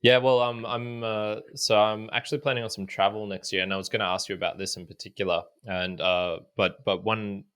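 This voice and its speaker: Lower-pitched male host, loose and rambling conversational delivery. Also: steady tone, brisk, hardly any pauses, a bit bright, clean tone. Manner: rambling, many restarts and fillers. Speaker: podcast host. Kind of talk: casual chat.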